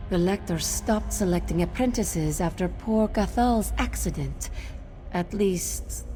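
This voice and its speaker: A woman plays a gentle female cat creature who tends fungi in a fantasy game. Her voice is mellow and lively in pitch, with modest, measured phrasing over faint music. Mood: mildly doubtful. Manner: speaks of self in third person, measured. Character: gentle female cat-folk fungus grower, modest and matter-of-fact. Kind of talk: video game character dialogue.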